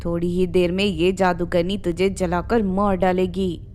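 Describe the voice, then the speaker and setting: A woman's voice: fairly low pitch, little pitch movement, a little slow, darkish tone, voice pushed down, lightly acted. A woman voicing a greedy, scheming older mother-in-law in a harsh, accusing, commanding way for a cartoon story. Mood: scheming, menacing.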